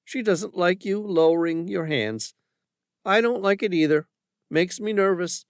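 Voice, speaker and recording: A man voicing a calm, collected armed woman who threatens in a conversational tone. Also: high voice, unhurried, clear, resonant tone, no echo, clean studio sound, pitched above the performer's own voice. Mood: menacing.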